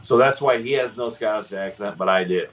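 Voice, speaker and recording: A middle-aged man and former wrestler hosting a podcast, telling stories in a rambling, animated way with lots of restarts. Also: on the low side, brisk. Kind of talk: casual storytelling chat.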